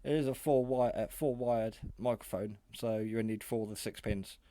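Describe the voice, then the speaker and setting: Low-pitched man giving a casual gadget demo. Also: fairly even intonation, moderate pace, warm tone, no room sound. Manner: hesitant, rambling, matter-of-fact. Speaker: hobbyist radio reviewer. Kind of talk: casual hands-on product demonstration. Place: garage.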